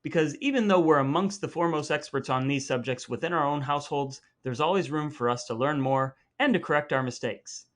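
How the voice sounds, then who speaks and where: A man's voice: fairly high pitch, fairly quick, hardly any pauses. A man co-hosting a podcast, moving between scripted reading and banter in a playful, mock-formal manner. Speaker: podcast co-host. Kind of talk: scripted intros, dramatic reading and banter with co-host.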